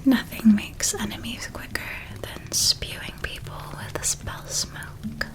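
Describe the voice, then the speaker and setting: Woman playing a slow, whispering witch mother. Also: lightly acted. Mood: gently admiring. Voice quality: soft, breathy whisper. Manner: unhurried, tender, softly enunciated. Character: gentle doting witch parent helping a child pack for school. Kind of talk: whispered ASMR roleplay.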